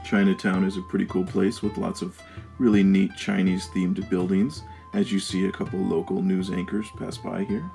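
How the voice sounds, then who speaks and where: A man's voice: deep voice, flat delivery, normal speed, vocal fry, over music. A man narrating a travel vlog as its host, reading from a script.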